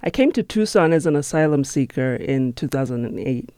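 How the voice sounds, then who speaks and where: Earnest, measured man with a mellow voice. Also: medium pitch, animated, smooth, no room sound. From Zimbabwe. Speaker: interview guest, nonprofit managing director. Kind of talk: studio interview. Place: radio studio.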